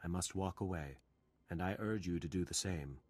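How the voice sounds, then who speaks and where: Man acting a deep, solemn Jedi. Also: moderate pace, natural voice. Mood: resigned, grave. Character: solemn, principled male Jedi. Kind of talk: video game voice acting.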